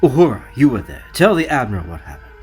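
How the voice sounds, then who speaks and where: An actor playing a hot-tempered, imperious starship captain in an audio drama, with a commanding, emphatic delivery. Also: male voice, medium pitch, wildly swooping pitch, quick pace, loud music behind the voice. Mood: furious, insistent.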